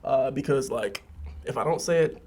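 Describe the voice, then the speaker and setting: A man's voice: medium pitch, moderate pace, a little mellow. A man chatting casually and playfully to viewers as a podcast host, in a slangy, rambling way. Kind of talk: casual comedic chat to viewers. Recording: music playing behind the voice. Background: music.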